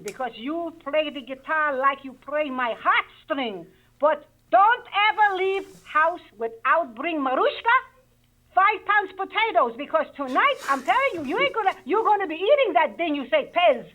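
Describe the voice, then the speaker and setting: A woman's voice: high voice, expressive, moderate pace, bright, forceful tone. A woman with a Russian accent playing a bossy wife who scolds her husband over the phone in broken English, talking about herself in the third person, for a radio comedy bit. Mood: scolding. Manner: broken English, dramatic, refers to herself by name. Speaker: caller. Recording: muffled phone line.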